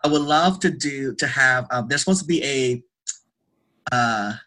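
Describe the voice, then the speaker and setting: A man with a bright, forward voice gives conversational interview answers. He is earnest and emphatic, often repeating a phrase to drive it home. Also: medium pitch, unhurried. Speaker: guest, theatre director and artist.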